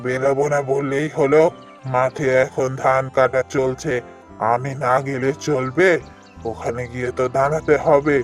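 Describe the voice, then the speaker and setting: A man's voice: mid-range voice, flat delivery, a little slow, soft background music, natural voice. A man voicing a hard-working, protective elder brother, an earnest village farmer, in a cartoon. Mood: protesting. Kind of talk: cartoon voice acting.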